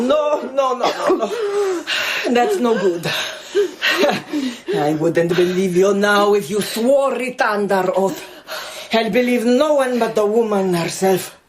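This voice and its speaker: Slow, imperious foreign Contessa. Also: female voice, medium pitch. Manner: haughty, melodramatic, deliberate. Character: imperious, volatile foreign Contessa, menacing and desperate. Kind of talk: radio drama acting.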